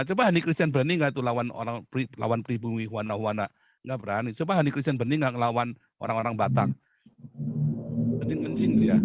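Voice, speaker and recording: A man's voice: medium pitch, no room sound. Blunt middle-aged male pastor, dry sound. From Indonesia. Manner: blunt, emphatic, laughing often. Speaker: pastor and host. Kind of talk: outspoken opinion talk.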